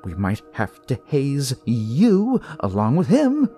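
A man's voice: mid-range voice, huge pitch swings, slow, dark tone, soft background music, pitched above the performer's own voice. A man voicing a deranged young cultist in a horror story, twitchy, stammering and menacing. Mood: impatient menace. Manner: unstable, stammering.

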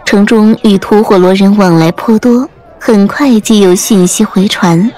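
A woman's voice: medium pitch, a little slow, dark tone. A woman reading scripted audiobook narration as the storyteller. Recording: music under the voice.

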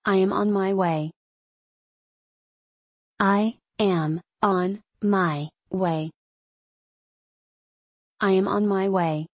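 A woman's voice: medium pitch, steady tone, extremely slow, long pauses, no echo. A woman reading short English phrases for learners, saying each one carefully several times in a dry studio voice-over. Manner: careful, clear enunciation for learners. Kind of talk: slow repeated phrase reading.

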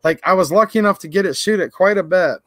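A man in his thirties hosting a livestream, talking casually and earnestly to his viewers, his voice a little raspy from cold and pollen. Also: high-pitched, quick pace, fairly bright tone, dry recording, studio quality. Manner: earnest, rambling, repeats "literally" and "like". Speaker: channel host. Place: indoor workshop or garage.

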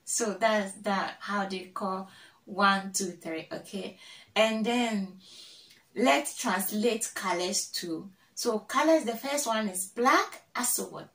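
A young woman from Ghana talking to camera in a vlog, slowly drilling words and repeating each one several times. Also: mid-range voice, a bit bright, far from the mic. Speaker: vlogger. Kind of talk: casual teaching to camera. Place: small room indoors, plain wall. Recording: echoey room, voice off-mic.

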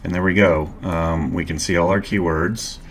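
Man, middle-aged, very low voice, calm explanatory tutorial narration, desk mic, faint background hiss. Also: moderate pace. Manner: calm, explanatory, walks through clicks step by step. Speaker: marketing instructor. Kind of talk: screen-share tutorial narration.

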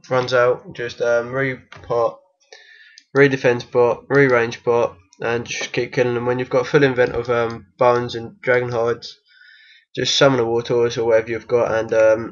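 A man with a croaky voice from being unwell gives a casual, hesitant game tutorial voice-over. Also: medium pitch, completely flat delivery, moderate pace, lo-fi sound. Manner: hesitant, many ums and restarts. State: croaky from illness. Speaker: game guide maker.

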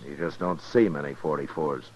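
A man playing a steady, self-assured frontier marshal, dry and matter-of-fact, in 1950s radio western style. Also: extremely low pitch, normal speed. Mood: explaining, steady. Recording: muffled, noisy old radio recording.

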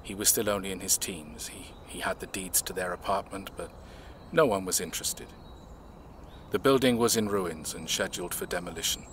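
A man acting in an audio drama, playing a reserved, measured man who recounts his family's past in a reflective way. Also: low voice, fairly bright tone, a little hiss or hum.

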